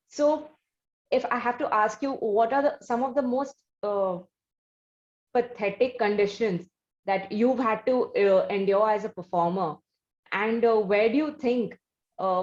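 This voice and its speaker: An Indian-accented woman hosts an interview in a mid-pitched voice. She phrases questions formally and at length, pausing as she builds them. The audio is a little dull and lo-fi. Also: a little mellow. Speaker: interview host.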